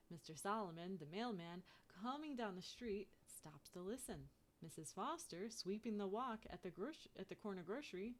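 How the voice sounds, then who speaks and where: Lady reading a kids picture book out loud, expressive, kinda sing-song, medium pitch. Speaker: storybook reader. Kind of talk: children's picture book read-aloud.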